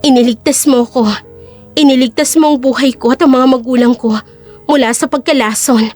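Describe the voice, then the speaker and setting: Audio drama ingenue, female actor: tender, emotional young woman, high-pitched, faint music behind. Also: fairly even intonation, moderate pace, darkish tone, close to an everyday voice. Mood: grateful, remorseful. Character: grateful, tearful young female sweetheart, tender and apologetic.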